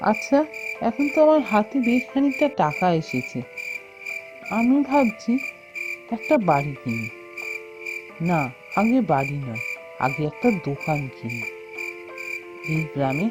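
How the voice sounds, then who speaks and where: A woman voicing an enterprising, hopeful young village man in a cartoon fairy tale, thinking aloud in a deliberate, expressive way. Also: lowish voice. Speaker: cartoon character voice. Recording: music bed behind the voice.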